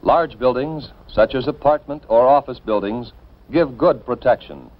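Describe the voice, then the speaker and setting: An American man reading scripted 1950s instructional film narration in a measured, formal, instructive manner. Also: mid-range voice. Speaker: narrator of a civil defense educational film. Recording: dull, band-limited 1950s film soundtrack.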